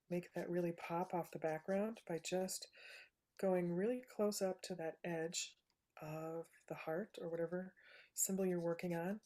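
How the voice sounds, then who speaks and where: A woman with a soothing, low voice gently walking through a live painting demonstration, often checking in with "right?". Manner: soft-spoken, gentle, says "right?" often. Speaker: painting instructor.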